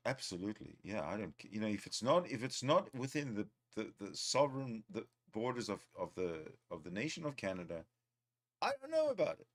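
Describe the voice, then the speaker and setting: Low, lively South African man. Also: a little fast. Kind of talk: casual chat.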